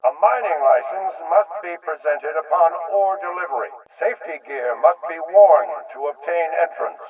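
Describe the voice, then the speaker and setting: An actor playing a strict, bureaucratic male announcer reading formal instructions over a filtered public-address speaker in a video game. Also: high voice. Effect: loudspeaker radio filter. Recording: tinny band-limited PA speaker, distant.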